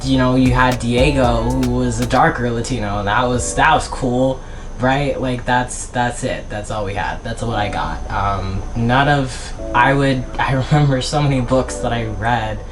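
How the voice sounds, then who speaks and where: A man's voice: mid-range voice, fairly even intonation, a little slow, over music. Rambling young American man vlogging. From United States. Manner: chatty and rambling, full of fillers and restarts. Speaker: author and vlogger. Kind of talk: unscripted casual vlog.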